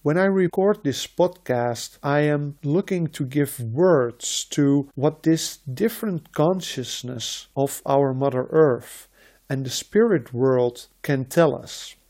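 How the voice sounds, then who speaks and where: A man from the Netherlands, a shaman hosting a podcast, speaking in a slow, measured, reflective way with repeated phrases. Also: fairly high pitch, darkish tone. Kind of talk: slow reflective spiritual talk.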